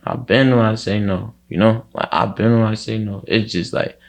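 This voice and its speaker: A man's voice: lowish voice, steady tone, moderate pace, vocal fry. A man talking casually as an interview guest, in a rambling, repetitive, slangy way with frequent swearing.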